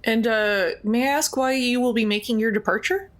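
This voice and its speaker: A woman's voice: fairly high pitch, moderate pace, voice pushed up, lightly acted. A woman playing an official school reviewer in a tabletop roleplay, polite and firm, with a formal, businesslike manner.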